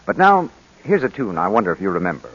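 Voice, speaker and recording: Male vintage radio announcer and pitchman, low animated voice, muffled broadcast sound. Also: fast, soft background music. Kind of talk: 1940s radio announcing and advertisement.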